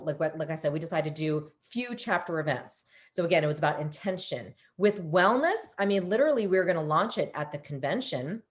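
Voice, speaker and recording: A woman psychologist speaking animatedly on a panel over a video call, with a loud, emphatic voice. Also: on the low side. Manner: animated, emphatic, self-correcting.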